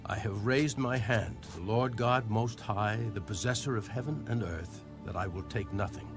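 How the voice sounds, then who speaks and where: A man acts out a resolute patriarch of scripture with a lowish voice. He speaks firmly and solemnly over clear background music. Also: normal speed, natural voice. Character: resolute, principled patriarch refusing reward.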